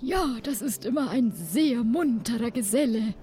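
A helpful pirate sidekick with a wooden leg played by a woman speaks in improvised radio-play dialogue. The voice is high, with faint music behind it. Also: animated, measured pace, dark tone. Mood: friendly, dry.

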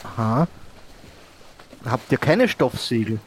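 A man's voice: mid-range voice, sing-song, slow pace. A man playing a friendly, hesitant ship supplies shopkeeper who repeats himself in an improvised audio drama, with faint noise behind. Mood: hesitant, helpful.

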